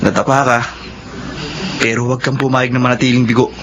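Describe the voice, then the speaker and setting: A man's voice: medium pitch, flat delivery, a little fast, natural voice. A man acts out an earnest young male graduate in a Filipino radio drama. His mid-range voice is reassuring and determined. Noticeable ambient noise sits behind him. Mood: earnest encouragement.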